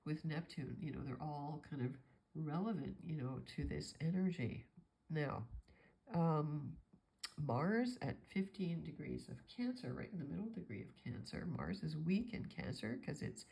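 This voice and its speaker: A woman's voice: deep voice, lively intonation, unhurried, creaky, dark tone, low-quality recording. A woman astrologer with a stuffy nose from a cold, talking through a forecast in a meandering, thinking-aloud way.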